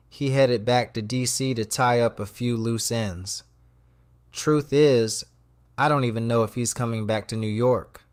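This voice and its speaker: A thoughtful, patient man confides a diary entry in an audio drama , as voiced by an actor. His mid-pitched voice is measured and reflective, recorded very dry and close. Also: no room sound, natural voice. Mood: uncertain, reflective. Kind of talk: scripted first-person diary narration.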